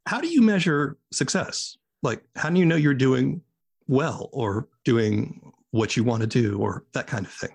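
A man's voice: mid-range voice, expressive, measured pace, vocal fry, darkish tone, slightly rough. A man hosting a podcast, asking thoughtful, roundabout questions in a conversational way. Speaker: podcast host, interviewer. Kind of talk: conversational interviewing.

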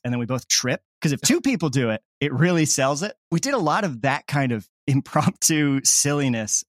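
A man's voice: medium pitch, no echo, clean studio sound. A man who does sound design, chatting casually in an interview from home. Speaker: sound designer and podcast creator.

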